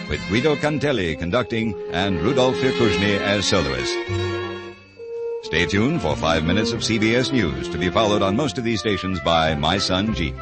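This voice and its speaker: A polished male announcer in formal 1950s network style. His voice is lowish and animated, with prominent music underneath. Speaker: radio announcer.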